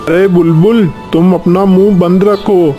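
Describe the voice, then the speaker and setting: Guy doing a snippy parrot in a kids cartoon, high voice, full of himself, music going behind. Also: steady tone, measured pace, highs cut off. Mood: scornful. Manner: boastful, scornful, simple phrasing. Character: conceited, quarrelsome male parrot. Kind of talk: Hindi children's cartoon story acting.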